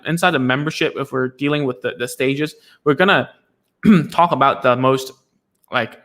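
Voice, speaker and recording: Male host of a live Q&A stream, mid-pitched and loose, talks in rambling restarts. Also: bright, forceful tone. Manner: rambling, many fillers and restarts. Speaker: speech therapist hosting a live stream.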